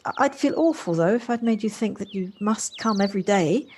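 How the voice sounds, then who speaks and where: A woman's voice: mid-range voice, lively intonation, brisk, darkish tone, close to an everyday voice. A woman playing a polite, effusive, wistful character in an amateur audio drama. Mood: apologetic, courteous. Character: Josie, wistful, polite, effusive woman rediscovering childlike freedom. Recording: faint noise, home-recorded on personal device.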